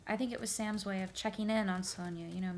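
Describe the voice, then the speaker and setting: A woman's voice: medium pitch, fairly even intonation, quick pace, natural voice. A woman playing an earnest witness in an audio drama, speaking hesitantly and conversationally as she recounts events to an investigator. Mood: matter-of-fact. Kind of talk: audio drama interview testimony. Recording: faint background noise.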